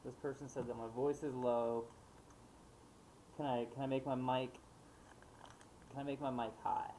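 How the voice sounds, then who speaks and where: A man thinking aloud in a casual, unscripted software demo, hesitant and muttering to himself as he trails off. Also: medium pitch, flat delivery, normal speed. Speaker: livestream host demonstrating animation software. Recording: noisy mic with clicking in background.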